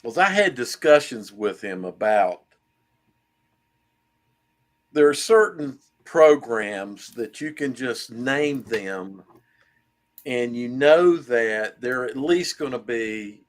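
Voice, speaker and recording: A man co-hosting a casual sports talk show, speaking in a thoughtful way that trails off quietly. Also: medium pitch, animated, slow. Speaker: co-host.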